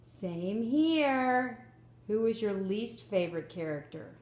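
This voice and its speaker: Echoey room, middle aged lady chatting about a storybook, expressive, asks questions, normal pitch. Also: takes it slowly. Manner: expressive, engaged, asking questions. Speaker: daughter listening and commenting on a story. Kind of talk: casual chat about a picture book. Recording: roomy echo around the voice.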